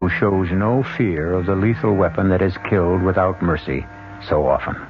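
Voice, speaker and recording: A man delivering deliberate, ominous scripted narration for a radio drama. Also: deep voice, faint music behind, close to an everyday voice. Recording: dull old radio broadcast recording.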